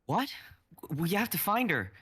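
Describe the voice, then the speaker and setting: A man voicing Kai, a battered and wounded young man who speaks in a strained hush. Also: high voice, sing-song, moderate pace, husky, pitched above the performer's own voice, heavily acted. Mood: dazed, strained.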